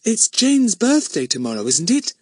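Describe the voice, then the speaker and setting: A man's voice: high-pitched, wildly swooping pitch, moderate pace, a little mellow, no room sound, clean studio sound, voice pushed up, full character voice. A man voicing a sensible, polite schoolgirl in a storybook, earnest and a little prim.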